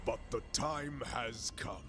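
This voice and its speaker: Guy acting a haughty alien warlord villain, solemn and stern, game cutscene w loud music over him. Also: mid-range voice, slow, natural voice. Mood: solemn threat. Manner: grandiose, stern, formal.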